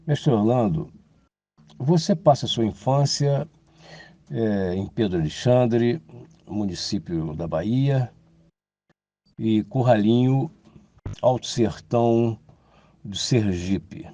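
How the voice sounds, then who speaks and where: A man hosting an interview, giving a scripted introduction and questions in a measured, formal presenting manner. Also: mid-range voice, warm tone. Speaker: interviewer and host.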